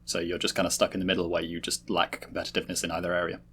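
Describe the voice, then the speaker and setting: A man's voice: sits low, completely flat delivery, rapid-fire, fairly bright tone, a little hiss or hum. A man explaining his points analytically in a casual podcast discussion. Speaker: podcast panelist.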